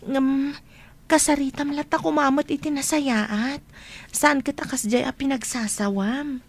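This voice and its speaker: A woman acting a concerned young student who gently questions her friend in an Ilocano radio drama. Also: sits high, steady tone, unhurried. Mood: coaxing, apologetic.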